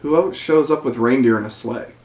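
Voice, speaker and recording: Mock-serious lecturing poetry reader, mid-pitched, indignant comic asides, roomy sound over soft music. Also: a little fast. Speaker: performer in a dramatic poem reading. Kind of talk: poem recital with comic commentary. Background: jazzy Christmas music.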